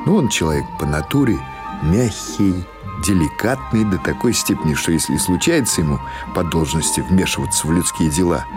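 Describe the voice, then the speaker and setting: Man, very low voice, audiobook narrator, literary storytelling, faint music underneath. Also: darkish tone, husky. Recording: music behind the voice.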